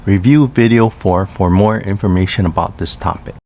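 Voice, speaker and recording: A man narrating a language lesson in a careful, scripted way, reading pairs of names slowly. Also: low voice, dark tone, slight background noise. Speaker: language teacher.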